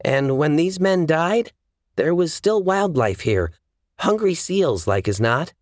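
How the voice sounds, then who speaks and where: Man voicing matter-of-fact woman, markedly pitched up. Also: big pitch swings, slow pace, dry recording, studio quality. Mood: grave. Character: observant, matter-of-fact woman examining bodies.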